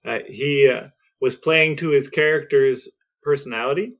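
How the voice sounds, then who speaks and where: Guy with lots of vocal fry, casual podcast chat, kinda halting as he explains stuff. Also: mid-range voice, a bit bright. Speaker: podcast co-host.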